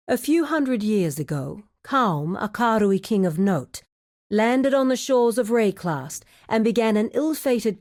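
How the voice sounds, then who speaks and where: A woman playing a composed, shrewd criminal guild woman in a video game, guarded and wry, speaking in a measured, formal, articulate way. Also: medium pitch, a little mellow, lightly acted. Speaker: video game quest-giver character. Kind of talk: scripted video game dialogue.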